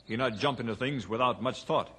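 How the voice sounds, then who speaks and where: A man acting a calm, loyal guide in an old-time radio adventure, speaking solemnly in clipped, broken grammar. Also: mid-range voice, fairly quick, breathy edge. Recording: noisy old radio recording.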